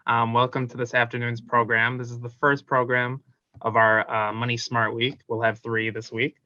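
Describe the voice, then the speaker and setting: A man's voice: medium pitch, little pitch movement, normal speed, bright, forceful tone, no room sound, muffled recording. A man hosting a library program, introducing a speaker in a casual way with many ums and uhs. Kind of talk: event introduction.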